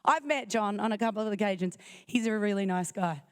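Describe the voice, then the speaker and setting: A woman with a croaky, failing voice giving a chatty, self-joking conference talk. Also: medium pitch, a little fast. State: croaky, losing her voice. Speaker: conference speaker. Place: conference hall.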